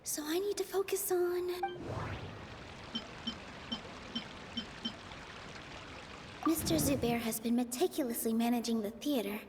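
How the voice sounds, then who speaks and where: A young woman voicing a gentle, earnest performer in a video game, polite and heartfelt. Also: high voice, fairly quick, noisy background, natural voice. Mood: determined. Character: gentle, earnest young woman dancer defending her theater. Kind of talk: video game dialogue acting.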